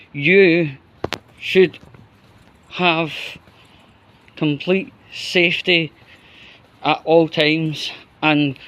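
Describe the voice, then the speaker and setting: Slow-talking Scottish man, fairly high voice. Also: a bit bright, highs cut off. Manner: halting, broken phrases with repetition. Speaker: vlogger. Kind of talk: unscripted opinion monologue.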